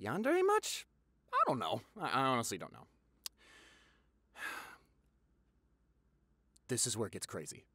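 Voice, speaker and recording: A man playing a popular boyfriend eagerly telling gossip to his partner in a conversational audio roleplay, with dramatic pauses and repeats for emphasis. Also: medium pitch, wildly swooping pitch, sharp tone, breathy edge, no echo, exaggerated voice. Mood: incredulous, dramatic.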